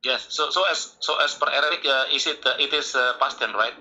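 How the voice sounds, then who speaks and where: A man from Indonesia, a guest in a debate, answering hesitantly with many halting restarts over a remote call. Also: medium pitch, pushed and bright, poor audio. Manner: hesitant, halting, repeats words.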